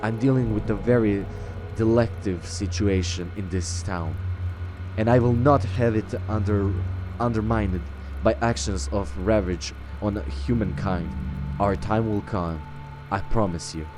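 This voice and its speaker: A man with a fairly low voice hosts a game roleplay. He reads text aloud in a halting way, tripping over words now and then. Game music plays clearly behind him. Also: low-quality recording. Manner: halting, stumbling over words when reading. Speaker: let's-play roleplay host. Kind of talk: reading game text aloud and role-playing dialogue.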